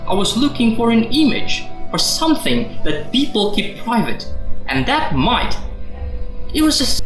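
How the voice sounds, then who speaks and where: A man's voice: very high voice, big pitch swings, a little slow, a bit bright, exaggerated voice. A man playing a sardonic, defensive suspended office worker in a radio drama, wordy and sarcastic. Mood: defensive, exasperated. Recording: roomy echo, music underneath.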